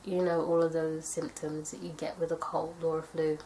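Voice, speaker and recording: Young girl vlogging with a stuffy cold, bit raspy, lowish voice, chatty and rambly, faint noise. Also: flat delivery, normal speed, warm tone, rough recording. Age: young adult. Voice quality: slightly raspy. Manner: chatty, thoughtful, rambling with fillers. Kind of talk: casual tag video talk to camera.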